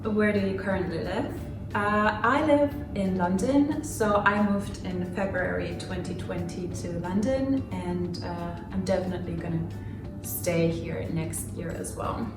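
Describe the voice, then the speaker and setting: A woman's voice: fairly low pitch, unhurried, echoey room, recorded from a distance, music in the background. A woman in her twenties, from Finland and raised in Germany, chatting through a casual get-to-know-me Q&A with many fillers and small laughs. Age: 20s. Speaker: vlogger. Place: home room.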